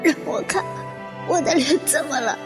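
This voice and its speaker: Drenched in reverb with music underneath, this is a woman acting a wounded survivor of a fire in a mystery audio drama. Her voice sits fairly high, and she speaks with dramatic, introspective deliberation. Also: normal speed, natural voice. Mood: anguished, frantic. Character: injured woman fire survivor, anguished then coolly guarded, narrating her ordeal.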